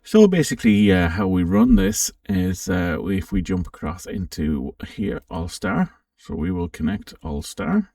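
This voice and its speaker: Deep, creaky-voiced man in a roomy space. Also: sing-song, unhurried, few pauses, warm tone, studio quality. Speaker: amateur radio channel host. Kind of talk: casual software walkthrough. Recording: roomy, distant microphone.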